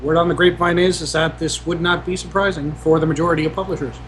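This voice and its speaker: Male podcaster, measured wry remarks, slightly high voice, faint hum. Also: steady tone, a bit bright. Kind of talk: casual podcast discussion.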